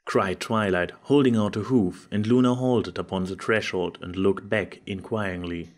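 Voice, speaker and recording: Low-voiced man narrating a story. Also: steady tone, normal speed. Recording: music behind the voice.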